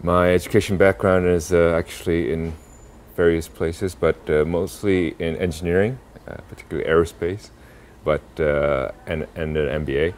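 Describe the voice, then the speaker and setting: A young adult man from South Korea answering interview questions about impact investing, pausing often with fillers and restarts. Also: low voice, steady tone, darkish tone, slight background noise. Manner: halting, many fillers and restarts.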